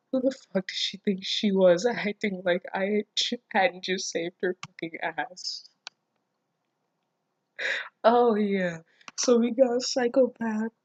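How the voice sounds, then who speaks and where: A woman's voice: lowish voice, a little mellow, no echo, dull audio. A woman reading fan fiction aloud and reacting casually, with sarcastic, incredulous, foul-mouthed interjections.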